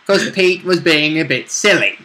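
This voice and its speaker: Man, fairly high bright voice, lively intonation, casual banter, quick to interject. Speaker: player in a tabletop role-play game. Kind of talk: casual chat.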